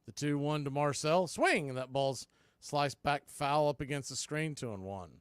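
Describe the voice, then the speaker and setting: A man's voice: fairly high pitch, animated, normal speed, smooth, dry recording, studio quality. A man doing live play-by-play baseball commentary as a radio host.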